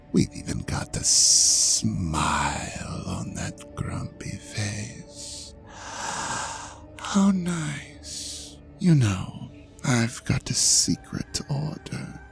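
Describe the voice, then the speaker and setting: Slow, deep, seductive serpent god. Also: male voice, full character voice. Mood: amused, coaxing. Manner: languid, coaxing, condescending, often dropping into breathy whispers. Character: ancient male serpent god, seductive, menacing, possessive hypnotist. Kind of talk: slow hypnotic audio drama monologue.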